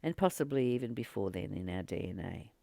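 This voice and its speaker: Woman, spiritual teacher, gravelly fry, close dry sound, earnest and deliberate delivery. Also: very deep voice, lively intonation, warm tone, no room sound. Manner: earnest, deliberate, with frequent pauses and emphatic repetition. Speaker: spiritual teacher and channel host. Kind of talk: spiritual teaching, reading a text with informal commentary.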